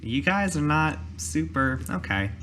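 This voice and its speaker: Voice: mid-range voice, big pitch swings, measured pace, a bit bright. Casual game master with faint background noise. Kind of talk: improvised tabletop roleplay narration and chat.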